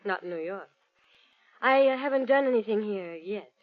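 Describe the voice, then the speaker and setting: A woman's voice: medium pitch, lively intonation, moderate pace, lightly acted. An actress playing a nervous, glamorous young woman in trouble in old-time radio style, breathless, guarded and haughty. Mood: evasive. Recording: muffled lo-fi old radio recording.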